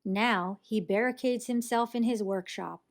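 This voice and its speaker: A woman reading a children's storybook aloud in an expressive, animated way that acts out the action. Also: on the high side, a little slow. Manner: animated, acts out sound effects and character lines. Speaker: storybook reader.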